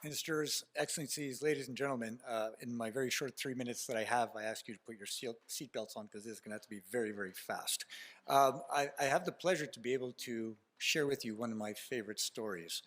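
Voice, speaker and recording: A man from Canada with a Canadian accent, talking to a conference audience from the stage. His voice sits at an ordinary middle pitch. He talks in a loose storytelling way, full of "uh"s and restarted phrases. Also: quick pace, fairly bright tone. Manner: informal and storytelling, with frequent restarts and fillers. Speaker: keynote speaker at a conference. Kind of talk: conference speech.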